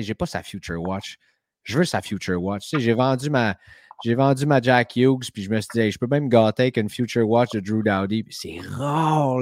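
A Québécois man hosts a casual podcast and tells animated stories with plenty of "tu sais" asides. His voice sits at a middle pitch. The recording is dry and close, with no room sound. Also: no room sound. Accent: Québécois French. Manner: animated storytelling, frequent "tu sais". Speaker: podcast host. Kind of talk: casual chat.

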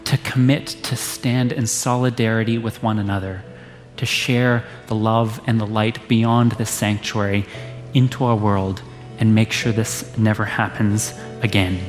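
Solemn pastor in a reverberant church. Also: male voice, on the low side, fairly even intonation. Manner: solemn, measured litany. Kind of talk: memorial eulogy. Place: church sanctuary. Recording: reverberant church hall with faint music.

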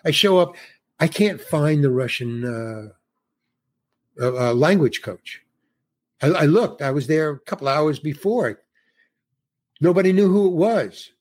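Husky man rambling through a story. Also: a little high, animated, unhurried, pauses often, darkish tone. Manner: rambling storyteller with restarts and acted quotes. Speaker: guest actor. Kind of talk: casual anecdote in an interview.

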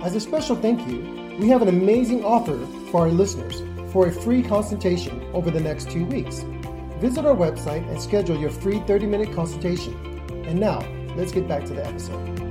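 A podcast host reading sponsor messages in a measured, announcer-like way. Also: medium pitch. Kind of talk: scripted ad reads. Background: music bed.

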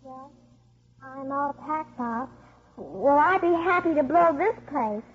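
A woman's voice: sits high, animated, slow, soft background music. A female performer playing a tough-talking, attached young daughter with slangy, plucky delivery in 1950s radio style. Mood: plucky, anxious. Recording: muffled 1950s radio recording.

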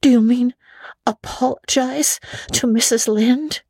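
A woman voicing an earnest, imaginative young girl in a storybook reading, halting and full of feeling. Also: a little high, fairly even intonation, mellow, no room sound, voice pushed up. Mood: puzzled. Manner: halting, repeats words for emphasis.

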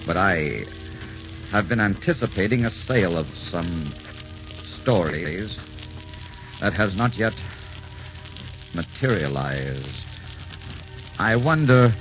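An actor playing a tormented, devoted young writer in a 1940s radio drama, theatrical and fervent. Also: male voice, sits low, expressive, takes it slowly, long pauses, a bit bright, exaggerated voice. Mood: embarrassed, grave. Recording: dull vintage 1940s radio recording, music behind.

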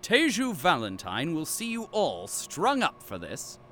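A man's voice: a little high, wildly swooping pitch, measured pace, sharp tone, a little hiss or hum, exaggerated voice. A man playing a pompous captive archivist and company loyalist in an audio drama, with formal, grandiose diction. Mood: haughty defiance.

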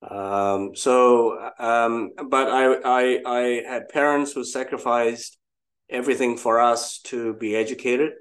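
A man's voice: medium pitch, fairly even intonation, slow. An older man from Malaysia, a guest entrepreneur telling his story in a casual interview, reflective and self-deprecating.

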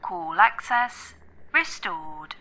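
An actress voicing an outdated robot phone assistant with stilted, automated delivery in an audio drama. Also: female voice, on the low side, expressive, drawn-out words, sharp tone, slight background noise, exaggerated voice. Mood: impassive.

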